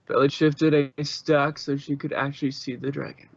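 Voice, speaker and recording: A man's voice: fairly high pitch, flat delivery, normal speed, creaky, dry recording, lo-fi sound. A man reading scripted story narration, as the narrator of an amateur audio drama.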